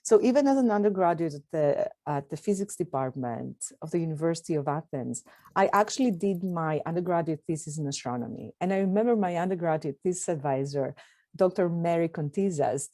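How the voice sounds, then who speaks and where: Greek-accented woman with a low, mellow voice. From Greece. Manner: thoughtful, with frequent pauses and restarts. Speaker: guest astronomer. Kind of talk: interview answers.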